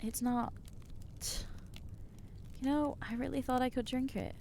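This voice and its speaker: A woman voicing an anxious, guilt-ridden young female vampire in an ASMR roleplay, speaking haltingly and stammering as she reproaches herself. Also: sits high, fairly even intonation, vocal fry, warm tone, a little hiss or hum. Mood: flustered.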